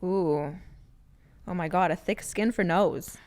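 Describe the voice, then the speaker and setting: Female podcast co-host, slightly low voice, informal, animated, thinking out loud in relaxed conversation. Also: slow. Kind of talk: casual unscripted podcast chat.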